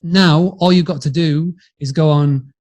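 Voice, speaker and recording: A man's voice: on the high side, fairly even intonation, unhurried, darkish tone. A middle-aged man from Yorkshire with a northern English accent, talking in a chatty, rambling way as an interview guest. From Yorkshire, England. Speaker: podcast guest, business founder. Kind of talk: conversational storytelling in an interview.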